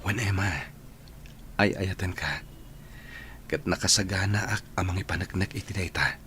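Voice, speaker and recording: A man's voice: deep voice, moderate pace, pushed and bright, slight background noise. An actor with an Ilocano Filipino accent playing a lovestruck young male trainee in a radio drama. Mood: earnest.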